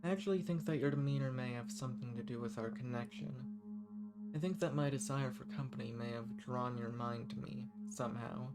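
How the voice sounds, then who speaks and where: A man's voice: mid-range voice, natural voice. A man voicing an ancient banished villain in an intimate audio roleplay, flirtatious and condescending, with smug, formal, teasing phrasing.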